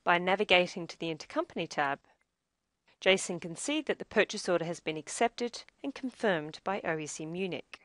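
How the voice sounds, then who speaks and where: Low-voiced woman narrating a software demo. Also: lively intonation, normal speed, dry recording. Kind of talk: scripted narration of a software tutorial.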